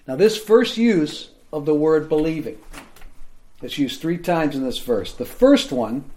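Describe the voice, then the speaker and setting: A man's voice: on the high side, darkish tone. A man teaching the Bible to a group in a room, explaining in a plain, conversational way and often checking in with his listeners.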